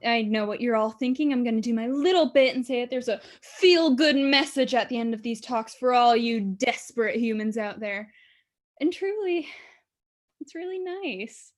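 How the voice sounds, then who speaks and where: A woman's voice: fairly high pitch, normal speed, natural voice. A woman playing a sardonic, gloating demon who delivers a theatrical monologue in a conversational, mocking way. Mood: mocking, smug. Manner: sardonic, gloating, conversational, given to chuckling asides. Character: gleeful, mocking female demon, a scheming devil monologist. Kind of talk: theatrical monologue addressed to the audience.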